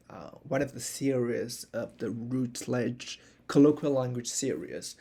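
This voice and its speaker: A young man hosting his own channel, giving a casual spoken lesson with many pauses and restarts, who is not a native speaker of the language he teaches. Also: medium pitch, a little mellow. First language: not Burmese. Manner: hesitant, many fillers and restarts, shows books to camera. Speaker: channel host teaching Burmese.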